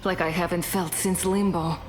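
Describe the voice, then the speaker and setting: An actress playing a cool, self-assured young sorceress heroine in a video game. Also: female voice, lowish voice, fairly even intonation, moderate pace, slight background noise, close to an everyday voice, poor audio. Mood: impressed, intrigued. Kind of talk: game voice acting.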